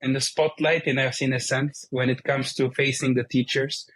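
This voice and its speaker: Turkish-accented man speaking in a flat, even tone. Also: medium pitch, moderate pace. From Turkey. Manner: explains plainly in non-native English. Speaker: guest, company CEO. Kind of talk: casual interview conversation.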